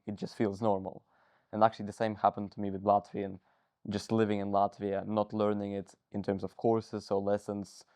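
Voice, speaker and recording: A teenage boy speaking in a measured, articulate way as a student guest in a small studio. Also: fairly low pitch, darkish tone, no room sound. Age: teens. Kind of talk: casual interview chat. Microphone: lapel mic.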